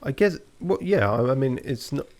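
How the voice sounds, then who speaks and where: A man's voice: mid-range voice, moderate pace. A man streaming a video game, talking casually with a co-host and reading viewer comments; his voice tends to break. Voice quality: voice breaks often. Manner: relaxed, reads chat aloud. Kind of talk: casual gameplay chat.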